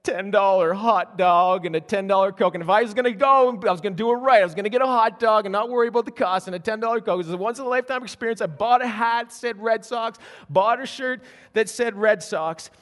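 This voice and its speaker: A man preaching a sermon as pastor, telling stories in an animated way with frequent restarts. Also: high voice, fast talker. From Canada. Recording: long hall reverb.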